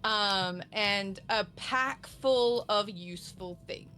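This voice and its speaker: Slow, bright-voiced woman player. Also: medium pitch, faint music behind. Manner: halting, dry, with pauses and fillers.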